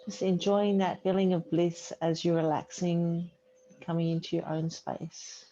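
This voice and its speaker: A woman yoga teacher leading a guided relaxation meditation in a soothing, unhurried way with long pauses. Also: fairly low pitch, level tone, dark tone. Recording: dull muffled audio, faint background noise.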